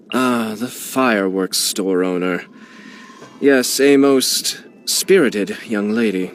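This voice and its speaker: A man's voice: fairly low pitch, a little hiss or hum. An actor playing a composed young nobleman who heads his clan, speaking in a polished, courteous way with dry amusement. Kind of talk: video game character voicelines.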